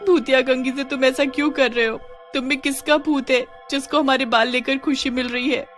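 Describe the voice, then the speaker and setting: A woman's voice: sits high, steady tone, normal speed, a bit bright, soft background music. A woman voicing an indignant, distressed young village wife in a cartoon horror story, with dramatic, complaining delivery. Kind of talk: acted cartoon dialogue.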